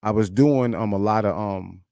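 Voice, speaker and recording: A man's voice: on the low side, normal speed, creaky, mellow, no echo. A man in his thirties, an independent rap artist, talking casually and candidly on a live stream in a loose, rambling way, with constant "you know what I'm saying" asides. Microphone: streaming mic.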